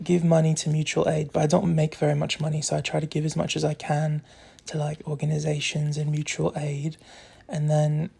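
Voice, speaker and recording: A young adult content creator talks in a put-on British accent. The voice is husky and creaky, sitting at a level, unhurried register and often trailing into breath. The delivery is soft, candid and meandering, like a casual confessional recorded on a phone. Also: medium pitch. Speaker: social media content creator. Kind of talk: casual rambling confessional chat to followers.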